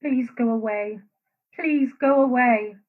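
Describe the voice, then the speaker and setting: A woman's voice: fairly high pitch, takes it slowly. An actress playing an anguished, guilt-ridden woman in a secret love affair in a radio play, earnest and fretful. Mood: distressed. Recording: thin phone-like sound.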